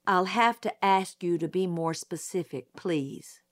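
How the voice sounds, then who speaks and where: A woman's voice: on the low side, unhurried, no echo, studio quality, natural voice. A woman reading a storybook voices a patient, formal male prosecutor questioning a witness.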